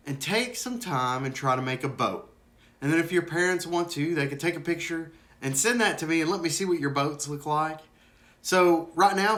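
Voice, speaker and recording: A man's voice: a little high, fairly bright tone. A man hosting a children's ministry video, talking informally to kids at home.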